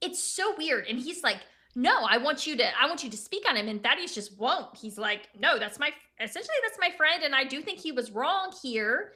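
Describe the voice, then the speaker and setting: Young woman co-host, high-pitched bright voice, chatty commentary with self-corrections. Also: very animated, brisk, few pauses. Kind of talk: casual political commentary.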